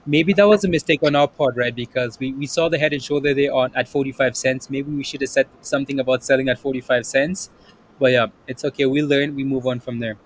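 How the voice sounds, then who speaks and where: A man's voice: mid-range voice, steady tone, fast talker, a little hiss or hum, poor audio. A man hosting a casual trading livestream, upbeat and chatty, often saying yep and there you go. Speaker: livestream host.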